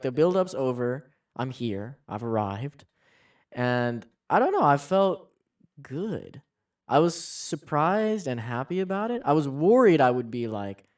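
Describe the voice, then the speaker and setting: A man's voice: medium pitch, a little mellow, far from the mic. A man in his thirties chatting casually as a podcast co-host, musing with frequent restarts. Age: 30s. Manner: musing, talks in starts and restarts. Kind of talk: casual chat.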